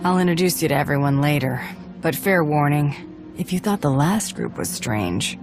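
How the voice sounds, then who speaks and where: A woman's voice: low-pitched, lively intonation, moderate pace. A woman playing a pragmatic, tough bounty hunter commander in a video game, matter-of-fact and assertive. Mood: wry. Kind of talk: scripted video game acting. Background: background music.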